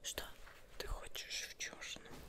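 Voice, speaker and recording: Young woman whispering an ASMR roleplay. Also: slow pace. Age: young adult. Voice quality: breathy, unvoiced whisper. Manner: soft, murmuring, reassuring, addresses the listener as a client. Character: witch seamstress fussing over fabrics for a client. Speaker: ASMR roleplayer playing a witch seamstress. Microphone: close microphone, facing camera.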